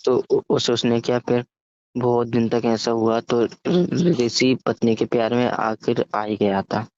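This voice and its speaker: A man casually telling a story over the phone, halting and often stammering, repeating syllables and words. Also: mid-range voice, a little mellow. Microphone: phone call. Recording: muffled, echoey phone call recording.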